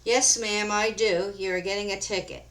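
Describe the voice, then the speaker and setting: A woman voicing a stern, by-the-book male police officer who talks slowly and firmly. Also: medium pitch, steady tone, a bit bright, natural voice, poor audio. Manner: slow, firm, official.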